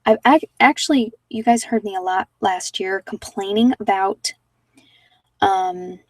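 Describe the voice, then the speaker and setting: A woman speaks softly in a casual livestream chat, often dropping toward a whisper, rambling reflectively and trailing off mid-thought. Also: mid-range voice, measured pace, dry recording, distant mic, rough recording. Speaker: ASMR meditation host.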